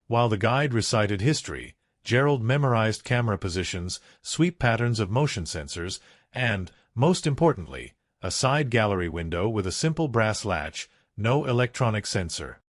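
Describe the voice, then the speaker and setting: A man's voice: fairly low pitch, no room sound, clean studio sound. A man narrating a scripted true-crime documentary, telling it dramatically in short, punchy phrases.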